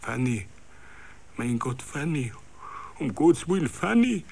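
A man's voice: medium pitch, sing-song, slow, gravelly, a little mellow, husky, pitched above the performer's own voice, full character voice. A man voicing grief-stricken and wounded Bavarian villagers, wailing in dialect within a read radio story. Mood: weeping despair. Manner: wailing, stammering lament.

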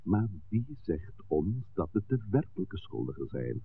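A man acting a calm, authoritative village priest in a 1960s radio play, speaking deliberately and oratorically. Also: fairly low pitch, vocal fry. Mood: persuasive. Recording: tinny, noisy 1960s radio recording.

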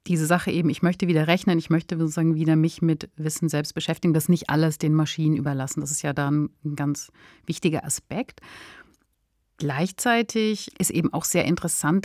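Low-voiced woman podcaster, very dry sound. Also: a little mellow, dry recording. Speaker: podcast co-host. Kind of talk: conversational analytical podcast discussion.

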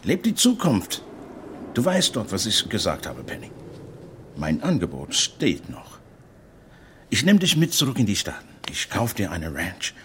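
A man's voice: fairly low pitch, wildly swooping pitch, measured pace, long pauses, fairly bright tone, a little hiss or hum, heavily acted. An actor playing an assured, indulgent businessman, coaxing and persuasive, in a naturalistic radio drama. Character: assured, indulgent American businessman courting a woman.

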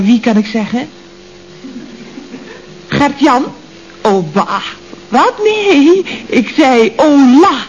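An actress playing a chatty, coy office receptionist in a radio comedy, with mannered politeness and a Netherlands Dutch accent. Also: female voice, a little high. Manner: mannered, over-polite telephone patter. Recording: dull old radio recording, faint noise.

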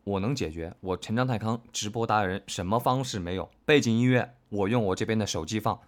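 A man's voice: fairly low pitch, a little fast. A man who works as a voice actor, hosting a casual livestream in a playful, joking manner. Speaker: voice actor, guest and host.

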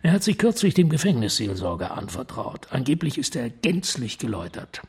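A high police prefect in a radio crime drama, husky and breathy with some gravel. He speaks formally and urgently, swinging in pitch as he persuades in secrecy. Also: exaggerated voice. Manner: formal, theatrical, emphatic with dramatic pauses.

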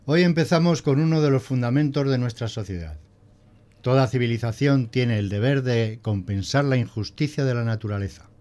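A man's voice: mid-range voice, normal speed, a little mellow, lightly acted. A man playing a prim, pedantic male schoolteacher who lectures didactically in a scripted audio drama. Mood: pompous lecturing. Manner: didactic, pompous.

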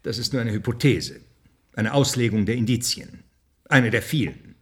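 An actor in a German radio play, playing a brash, hot-tempered official who talks with sarcasm and contempt in a husky voice. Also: male voice, medium pitch, moderate pace, a bit bright. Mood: sceptical. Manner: sarcastic, blustering, coarse.

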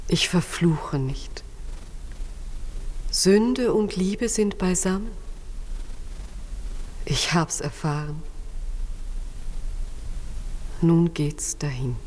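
An actress playing a condemned young mother, frightened yet resigned, in solemn, often hushed 1950s radio drama style. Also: lowish voice, slight background noise. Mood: resigned, remorseful. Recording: reverberant 1950s radio studio recording.

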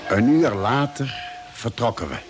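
An actor playing a thoughtful ship's doctor who narrates a science-fiction radio drama in a measured way. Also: male voice, mid-range voice, lively intonation, over music, lightly acted. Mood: reflective. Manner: measured, reflective.

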